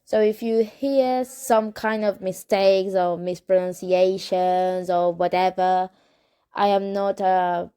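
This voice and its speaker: A young woman whose first language is Spanish, reading a novel aloud in English with a Spanish accent and stopping for casual asides, unhurried and correcting herself as she goes. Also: medium pitch, fairly even intonation, mellow, smooth. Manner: unhurried, hesitant, self-correcting. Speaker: amateur reader of a novel.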